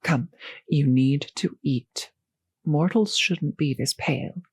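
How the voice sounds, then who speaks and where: Slow, genteel female vampire, acted by a woman. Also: low-pitched, breathy edge, no echo, heavily acted. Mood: polite, reassuring. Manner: polite, formal, old-fashioned phrasing, solicitous. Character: courteous, genteel female vampire, gently seductive host. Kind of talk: intimate audio roleplay addressed to the listener.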